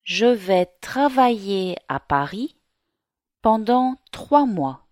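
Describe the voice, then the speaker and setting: A woman reading the part of a young Englishwoman heading to work as an au pair, in a slow learner's dialogue. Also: medium pitch, sing-song, darkish tone, dry recording, studio quality. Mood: curious. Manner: slow, carefully articulated reading.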